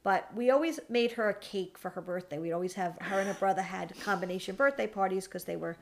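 A middle-aged woman, a mother, chatting as a podcast guest with a raspy voice after losing it, reminiscing fondly. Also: medium pitch, fast, slight background noise. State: raspy, recovering lost voice. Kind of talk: casual chat.

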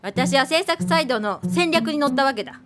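An actress playing a sardonic, resentful screenwriter daughter in a naturalistic Japanese radio drama, blunt and quick to retort. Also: female voice, high voice, animated, over music. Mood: sarcastic, irritated. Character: sharp-tongued, resentful screenwriter daughter of a film director.

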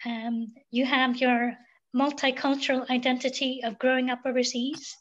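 A high-pitched woman from Sweden speaks as a podcast guest, choosing her words with care and pausing often on fillers. The sound is somewhat dull and lo-fi. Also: flat delivery. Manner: thoughtful, many um fillers. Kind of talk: reflective interview talk.

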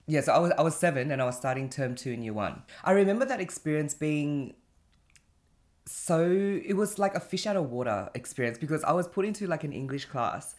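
A guest from Cambodia, telling stories in relaxed casual chat with laughter and restarts. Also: medium pitch, fairly quick.

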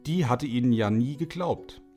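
A man's voice: medium pitch, normal speed, dark tone, close to an everyday voice. A man narrating an audio drama for children, speaking directly to the young listeners. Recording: music under the voice.

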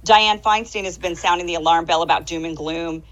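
A woman's voice: fairly low pitch, a little fast, fairly bright tone. A woman in her forties speaking emphatically and rhetorically as a panelist in a political discussion. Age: 40s. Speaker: panelist on a political talk show. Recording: slightly dull, band-limited audio.

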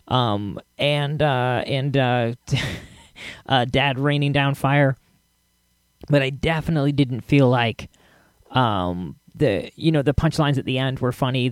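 A man hosting a podcast solo, talking casually in a rambling, halting way with chuckles mixed in. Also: medium pitch, no echo, clean studio sound. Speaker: podcast host.

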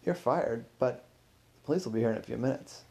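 A man's voice: mid-range voice, a little fast, vocal fry, warm tone, close to an everyday voice. A man voicing a stern museum curator in a story, insistent, exasperated and scolding. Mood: stern, indignant. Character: stern, indignant museum curator confronting a culprit.

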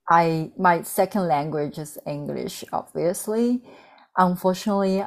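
A woman in her thirties speaks English with a Mandarin Chinese accent. Her voice sits low and carries a gravelly fry, and she tells her stories candidly, pausing on ums and restarting her phrases. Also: a little mellow. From China. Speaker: guest. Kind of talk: reflective personal storytelling.

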